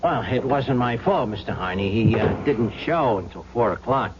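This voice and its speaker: A small-time gangster's sidekick played by a male actor chatters in slangy, streetwise grumbles with a very lively pitch. He is eager and resentful, heard on a dull, clearly noisy old radio drama recording. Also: normal speed, lightly acted. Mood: eager, defensive.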